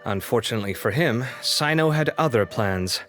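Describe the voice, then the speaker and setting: A man's voice: medium pitch, animated, normal speed, a bit bright, faint music behind, lightly acted. A man voicing a candid young man in a video game, casual, emphatic and opinionated. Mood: speculating. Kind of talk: English video game dub acting.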